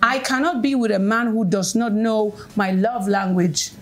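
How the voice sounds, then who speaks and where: Self-assured woman guest, emphatic delivery with restarts, medium pitch. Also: moderate pace. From Norway. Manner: emphatic, self-assured, repeats words when starting. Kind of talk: candid talk-show chat.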